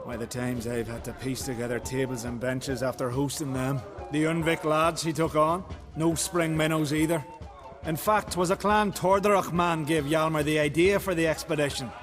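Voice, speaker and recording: An actor playing a hearty tavern keeper in a fantasy video game, telling tales in a folksy, animated way. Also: male voice, on the high side, moderate pace, music in the background, close to an everyday voice. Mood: amused, chatty. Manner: folksy, animated storytelling with archaic dialect words. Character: hearty male island tavern keeper, fond storyteller.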